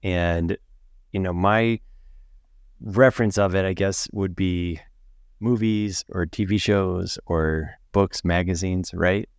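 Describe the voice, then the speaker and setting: A man's voice: deep voice, fairly even intonation, slow, clear, resonant tone, no echo, clean studio sound. A man hosting a podcast, upbeat and affirming as he welcomes and questions his guest. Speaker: podcast host.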